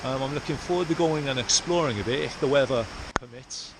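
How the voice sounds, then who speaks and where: A man from South Wales, chatting casually and haltingly to camera as he explores outdoors. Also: mid-range voice. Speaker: vlogger, artist explorer. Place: outdoors in rainy, windy hills. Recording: loud wind and outdoor noise.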